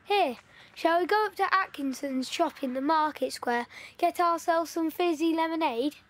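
A woman's voice: very high voice, moderate pace, fairly bright tone. A woman playing an eager, talkative village boy in wartime, telling stories and coaxing his friend. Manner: eager, storytelling, pushy. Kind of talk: audio play acting.

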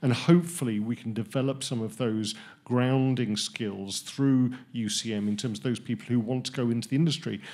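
A middle-aged man answers into a handheld mic on a conference panel in a large hall. His voice is mid-pitched, and he starts with hesitation before settling into explanation. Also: normal speed, a little mellow. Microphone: handheld microphone.

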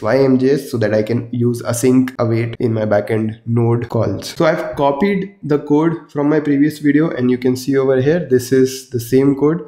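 A young man explains programming steps in a casual, conversational way, with plenty of small restarts. His voice is mellow and a little worn from a sore throat. It carries some echo from the small room he records in. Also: mid-range voice. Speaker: coding tutorial host. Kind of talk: casual explanatory coding tutorial. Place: small indoor room with wardrobe.

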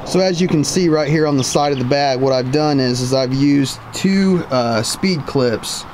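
A man's voice: mid-range voice, moderate pace. A man in his thirties hosting a fishing channel, talking casually and enthusiastically to the camera outdoors by a park pond, with plenty of fillers and restarts. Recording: outdoor camera audio with clear background noise.

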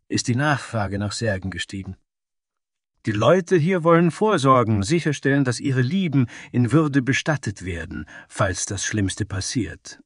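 A man's voice: mid-range voice, no echo, pitched above the performer's own voice, close to an everyday voice. Man voicing a proud dragonkin craftsman, dry sound. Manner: earnest, deliberate, proud. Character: proud, sturdy male dragonkin carpenter, earnest craftsman.

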